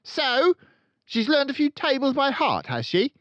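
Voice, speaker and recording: Man putting on a high, swooping, bellowing tyrant headmistress. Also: huge pitch swings, measured pace, a bit bright, no echo, muffled recording, exaggerated voice. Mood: outraged. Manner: barking, bellowing, snorting contempt. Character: tyrannical, furious, bullying headmistress, a huge middle-aged woman. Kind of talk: children's audiobook character voice.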